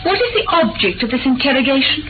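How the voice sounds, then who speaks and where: An actress playing a guarded, distressed abandoned wife in 1940s radio drama, speaking formally and defensively. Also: female voice, high-pitched, sing-song, fast talker. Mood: guarded, indignant. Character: guarded, distressed woman abandoned by her husband. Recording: muffled vintage radio with music.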